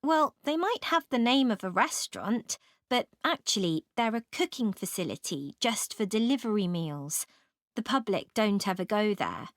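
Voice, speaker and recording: A woman playing a student in a scripted, measured discussion, giving her opinions deliberately. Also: medium pitch, animated, dry recording, studio quality, natural voice. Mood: explanatory. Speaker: voice actor in a listening exam dialogue.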